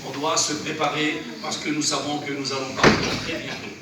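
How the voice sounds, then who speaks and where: A man's voice: fairly high pitch, little pitch movement, moderate pace. A man preaching a sermon in church, who has a cold and a runny nose, exhorting earnestly in short phrases. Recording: very echoey church, from far off, noisy.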